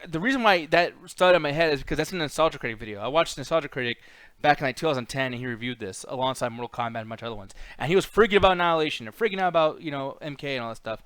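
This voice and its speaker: A man's voice: on the high side, fairly bright tone, smooth, no room sound. A man hosting a casual, opinionated movie chat, ranting bluntly with lots of swearing and mocking quotes. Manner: mimics characters in mocking quotes. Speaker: podcast host.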